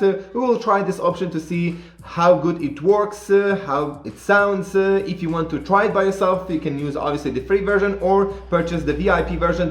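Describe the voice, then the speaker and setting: A man presenting a software tutorial in casual, somewhat hesitant and repetitive talk. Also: sits high, echoey room.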